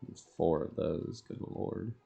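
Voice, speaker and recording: A man gives casual solo gameplay commentary, thinking aloud in short fragments. His voice is heavily fried and a little mellow, and he takes his time between words. Soft music plays faintly behind him. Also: sits low, slow pace, creaky. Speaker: game streamer.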